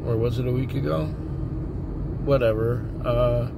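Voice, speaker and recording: Tired, slightly hoarse man in a taxi. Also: mid-range voice, fairly even intonation. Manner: tired, rambling, halting with fillers. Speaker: taxi driver. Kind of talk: casual vlog monologue. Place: inside a taxi at night. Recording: noisy car interior. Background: car and road noise.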